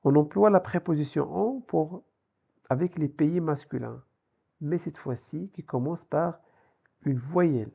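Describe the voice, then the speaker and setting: A man's voice: a little high, a little slow, gravelly, dark tone, breathy edge. A man teaching a language lesson in a didactic way, posing questions and answering them himself, in a slightly dull recording. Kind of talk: grammar lesson with examples.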